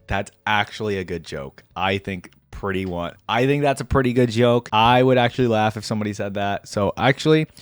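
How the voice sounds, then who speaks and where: A man's voice: fairly low pitch, a little fast, hardly any pauses, clean tone, no room sound, soft background music. A young man giving casual reaction commentary, thinking aloud and addressing viewers in a musing, tentative way. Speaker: reaction video host.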